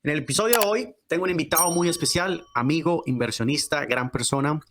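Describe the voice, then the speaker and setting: Fast-talking man, podcast host. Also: fairly high pitch, background noise. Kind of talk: conversational podcast interview and promotion.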